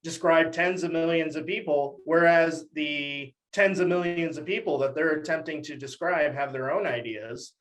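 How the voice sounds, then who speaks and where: A man's voice: on the high side, normal speed, hardly any pauses, fairly bright tone, low-quality recording. A young man from Texas giving articulate, conversational political commentary as an interview guest. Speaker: podcast guest, academic commentator.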